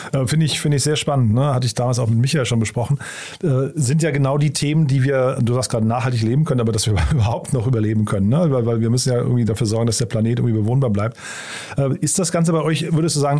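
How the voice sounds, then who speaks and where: A man's voice: mid-range voice, dark tone. A man hosting an interview, chatty, asking questions with many fillers. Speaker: podcast host. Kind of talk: casual interview talk.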